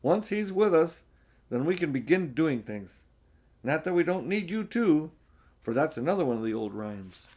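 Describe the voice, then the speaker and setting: A man's voice: fairly high pitch, very animated, normal speed, darkish tone. Guy doing a talking beaver from a storybook, folksy, earnest, cautious, explains everything with emphasis. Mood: earnest, urgent. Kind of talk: storybook character voice.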